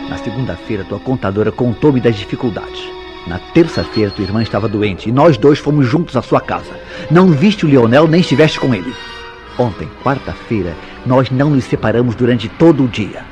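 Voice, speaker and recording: A man's voice: mid-range voice, expressive, fairly quick, darkish tone, close to an everyday voice. An actor playing a suspicious, jealous man in a Brazilian radio soap opera, speaking in formal, insistent, theatrical tones. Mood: accusing, insistent. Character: suspicious, jealous man relentlessly interrogating a woman. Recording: radio drama with background music.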